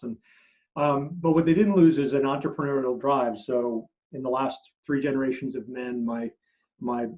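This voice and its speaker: Man, middle-aged, German first language, animated storyteller, repeats words, muffled lo-fi sound. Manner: animated storyteller, many restarts, drops low at phrase ends. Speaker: marketing expert guest. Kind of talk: interview answers, storytelling. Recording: muffled lo-fi audio.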